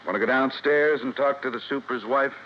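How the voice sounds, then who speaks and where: A man's voice: medium pitch, steady tone, fairly quick, natural voice. A man with an American accent playing a stern, stubborn police detective in an old radio drama, questioning tersely and firmly. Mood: businesslike. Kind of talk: golden-age American radio drama acting. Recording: muffled old radio recording, faint hiss.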